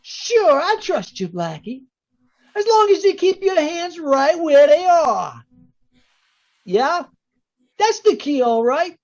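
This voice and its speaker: Woman playing very high-pitched demanding lady crook. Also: big pitch swings, takes it slowly, long pauses, darkish tone, exaggerated voice. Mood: taunting, demanding. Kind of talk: 1940s radio melodrama acting. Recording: dull lo-fi video-call audio.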